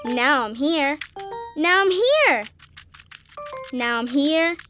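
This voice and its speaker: A woman's voice: high-pitched, drawn-out words, faint music behind, heavily acted. A woman voicing an eager, impatient young cow in a children's cartoon, talking emphatically. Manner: excitable, emphatic, exclaiming.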